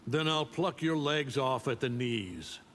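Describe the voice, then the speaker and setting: An actor playing a stern, proud alien warrior of an empire in a video game, haughty and threatening. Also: male voice, mid-range voice, expressive, unhurried, fairly bright tone. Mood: haughty threat. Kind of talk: video game character dialogue. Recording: long reverb, faint background noise.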